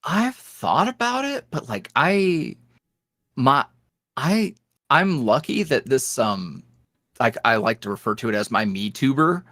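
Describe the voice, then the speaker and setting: A man's voice: mid-range voice, sing-song, measured pace, fairly bright tone, no room sound, clean studio sound. A young man, a voice creator, chatting casually as a podcast guest, rambling with many restarts. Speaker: guest, ASMR roleplay creator. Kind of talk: casual interview chat. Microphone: headset.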